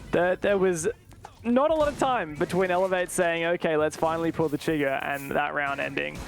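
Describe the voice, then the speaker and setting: Higher-pitched male esports caster. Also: moderate pace, gravelly, faint music behind. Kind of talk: live esports match commentary.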